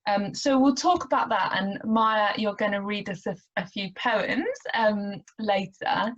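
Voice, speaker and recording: A woman's voice: mid-range voice, a little slow. Woman hosting an online chat, gushing n upbeat, kinda dull muffled call audio. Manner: warm, effusive, enthusiastic praise. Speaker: host. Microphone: video call.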